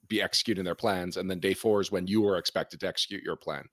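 A man's voice: low-pitched, steady tone, quick pace, a bit bright, no room sound, clean studio sound. A man with a Canadian accent running a tabletop game as game master, bantering and laughing often with his players.

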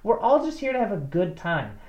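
A woman co-hosting a casual podcast about tabletop games, animated and laughing often as she talks. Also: medium pitch, fairly quick. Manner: laughs often, animated, restarts mid-sentence. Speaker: podcast co-host. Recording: roomy, dull lo-fi sound.

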